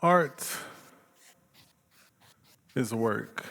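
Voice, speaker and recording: An actor playing a troubled, passionate painter, musing aloud haltingly in a theatrical stage monologue. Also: male voice, medium pitch, lively intonation, gravelly, fairly bright tone, exaggerated voice. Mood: brooding, musing. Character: troubled, passionate male painter, brooding and unhinged. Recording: reverberant hall, stage performance.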